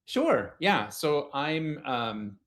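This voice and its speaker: A man who grew up in Norway, a guest on a tech podcast, explaining things in a relaxed way with many fillers. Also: a little high, drawn-out words. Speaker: guest engineer. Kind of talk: casual tech podcast chat.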